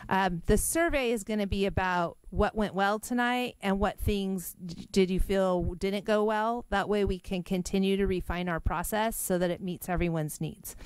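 A woman runs a public meeting with an upbeat, organized manner. Her voice is smooth and clear, close and dry on a table microphone, with little room sound. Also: mid-range voice, steady tone, moderate pace, few pauses, no echo. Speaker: meeting facilitator.

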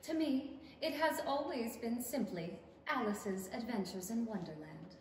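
A woman's voice: a little high, lively intonation, a little slow. A woman playing an earnest, theatrical storyteller narrating a fairy story in a radio play. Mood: earnest, reflective. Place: theatre stage. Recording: echoey theatre hall from far off, faint noise.

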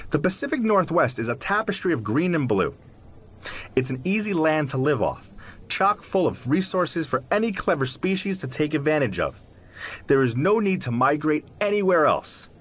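Animated, higher-voiced professorial zoologist. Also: male voice, breathy edge, full character voice. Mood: earnest, lecturing. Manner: explanatory, professorial, emphatic. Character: articulate, self-assured male zoologist who believes in Sasquatch. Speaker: zoologist being interviewed. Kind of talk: audio drama interview, scripted but conversational.

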